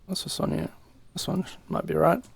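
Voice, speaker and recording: A man's voice: high voice, flat delivery, normal speed, vocal fry, breathy edge. A young man giving a casual music production tutorial in an informal, improvised way, thinking aloud as he works. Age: young adult. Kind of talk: casual screen-recorded software tutorial. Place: indoor room at home. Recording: loud electronic synth music over voice. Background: loud synth and beat playback.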